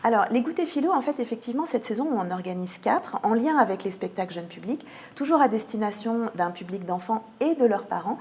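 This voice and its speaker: A woman's voice: a little high, animated, brisk, darkish tone. Woman explaining things with frequent hesitations. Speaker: theatre cultural programming manager, radio guest. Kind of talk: interview answers, presenting a season programme.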